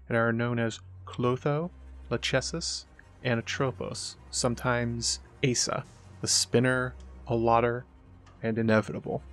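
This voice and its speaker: Mid-pitched man narrating a scripted essay. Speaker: host of a literary video essay.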